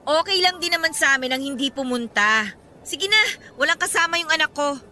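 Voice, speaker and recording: A woman's voice: high-pitched, animated, fairly quick, pushed and bright, soft background music, full character voice. An actress playing an indignant, sarcastic mother who feels bitterly wronged, in an emotional radio drama style. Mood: irritated, dismissive. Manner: biting, sarcastic retorts.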